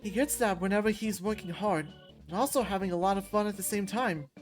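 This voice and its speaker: A woman reading a visual novel aloud as expressive first-person story narration. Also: medium pitch, a little fast, faint music behind.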